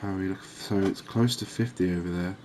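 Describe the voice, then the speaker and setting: A man giving quiet, cozy ASMR commentary on a game, unhurried and thinking aloud. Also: low-pitched, steady tone, a little hiss or hum. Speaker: gaming video host.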